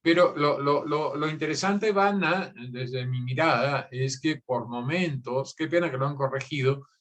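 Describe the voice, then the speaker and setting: A man's voice: on the high side, a little slow, a bit bright. A man hosting a writing workshop conversation, responding with enthusiasm and repeating words as he builds his point. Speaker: workshop host. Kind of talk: conversational interview.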